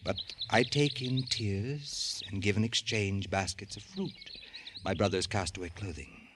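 A man acting a resentful, cultured intellectual in an old-time radio drama. The voice is fairly low, the wording precise and theatrical, full of sardonic bitterness. The old recording carries slight noise. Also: measured pace, natural voice. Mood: bitter. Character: bitter, cultured male doctor, resentful brother of a rich man.

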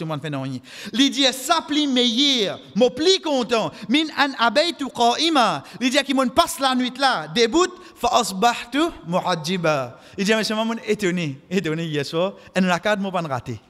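Mauritian creole guy preaching in a mosque, high voice, big long reverb, repeats stuff to hammer it home. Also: fairly bright tone. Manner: impassioned, exhortative, repeating phrases for emphasis. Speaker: preacher giving a Friday khutbah. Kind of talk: sermon with Arabic quotations. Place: mosque, indoors at the pulpit. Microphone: microphone on a stand, close. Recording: echoey hall with long reverb.

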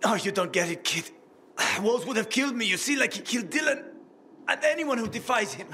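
A man's voice: extremely high pitch, lively intonation, fairly quick, pushed and bright, a little hiss or hum, exaggerated voice. An actor playing a wounded, desperate, cynical male survivor in a video game, groaning and swearing as he pleads. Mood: pained, pleading.

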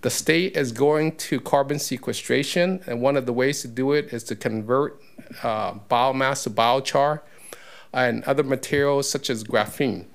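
A man's voice: mid-range voice, normal speed, creaky. A man giving a public comment at a council meeting podium, explaining in a conversational way with many fillers. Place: council chamber. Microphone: podium mic.

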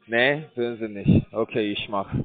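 A man calling from a call center, rambling in a bored, crude, halting way. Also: lowish voice, no echo. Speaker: call center scam caller. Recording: dry tinny phone line.

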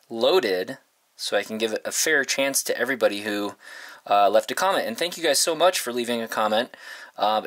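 A man in his thirties with a bright, forward voice and a middling pitch. He talks like a casual vlogger, rambling to his viewers and often feeling his way through a thought out loud. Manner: informal, halting, thinking aloud. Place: inside a parked converted school bus.

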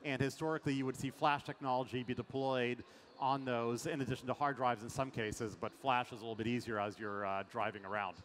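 A man's voice: medium pitch, steady tone, brisk, a bit bright. A middle-aged man from France, a venture investor, talking energetically with many fillers in a fireside chat on a large conference stage, through a headset mic. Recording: long hall reverb, faint ambience.

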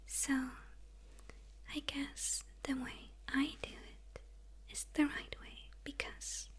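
Woman whispering as a gloating demon villainess. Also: high-pitched. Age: young adult. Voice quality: breathy whisper.

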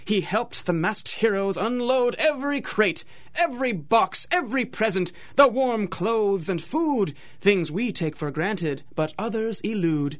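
High-pitched male storyteller narrating in rhyme. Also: expressive, measured pace. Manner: theatrical, sing-song rhymed delivery. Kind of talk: rhyming scripted storybook narration for radio drama.

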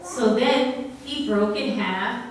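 A young woman telling a story to children at church in a slow, gentle way, asking them questions as she goes. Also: on the high side, a little hiss or hum. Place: church sanctuary, at the front. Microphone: far room camera. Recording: very echoey church from far off.

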